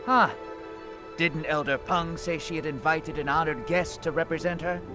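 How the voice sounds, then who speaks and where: A suspicious, officious guard voiced by a man in a game. His voice is high and challenging, with music clearly behind it. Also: moderate pace, natural voice. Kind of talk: video game acting.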